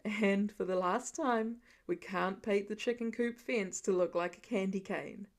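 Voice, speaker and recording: Woman doing a fond, weary dad, pitched down. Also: unhurried, natural voice. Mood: gently stern. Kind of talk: storybook-style character reading.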